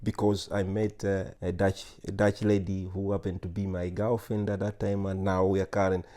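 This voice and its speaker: A man in his forties from Uganda, an oncologist guest answering interview questions with many pauses, fillers and restarts. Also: low voice, flat delivery, dark tone. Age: 40s.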